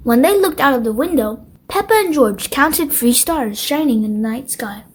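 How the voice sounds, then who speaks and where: A woman reading a children's bedtime storybook aloud as narrator, with expressive delivery. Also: high voice, normal speed, slightly rough.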